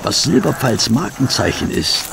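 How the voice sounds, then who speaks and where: Knarzender, gutmütiger Doktor. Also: male voice, mid-range voice, very animated, moderate pace, vocal fry, background noise. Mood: mildly chiding. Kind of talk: radio play acting. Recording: outdoor insect and animal ambience behind.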